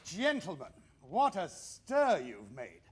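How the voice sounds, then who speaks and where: Keen pushy 1920s pr man in a period radio play, high lively male voice, very persuasive. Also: takes it slowly, a little hiss or hum, exaggerated voice. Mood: enthusiastic. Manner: eager, persuasive. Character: upbeat, pushy 1920s company publicity man. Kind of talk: period radio drama acting.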